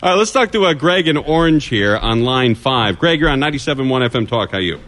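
A man's voice: medium pitch, animated, quick pace, fairly bright tone. A middle-aged man hosting a radio talk show, chatting casually and jokingly in a hesitant, rambling, self-deprecating way.

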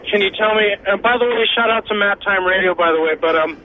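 A man's voice: sits high, fast, narrow, tinny audio, slight background noise, close to an everyday voice. A man making a prank call to a radio show, stammering and acting like a nervous first-time caller.

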